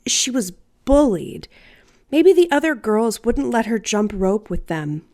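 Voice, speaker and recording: A woman storyteller reading a personal story aloud in a deliberate, theatrical, wryly humorous way. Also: mid-range voice, a little mellow. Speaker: writer and storyteller.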